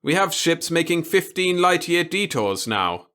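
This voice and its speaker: A man's voice: a little high, big pitch swings, unhurried, pushed and bright, pitched above the performer's own voice, exaggerated voice. A man voicing a gleefully tyrannical old boss in a sci-fi audiobook, gloating and lecturing as he browbeats his staff.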